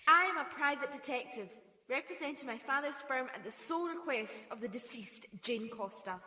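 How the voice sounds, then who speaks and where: A woman's voice: high voice, lively intonation, normal speed, pushed and bright, roomy sound. A young woman playing a composed, assured private detective in a mystery audio drama. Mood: firm, accusing. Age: young adult.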